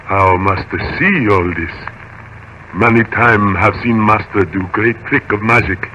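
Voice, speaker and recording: A giant, loyal servant in broken English. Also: male voice, on the low side, a little slow, pushed and bright, highs cut off, slight background noise. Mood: awed. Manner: broken English, speaks of himself by name. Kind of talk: 1930s radio drama acting. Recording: old radio recording over music.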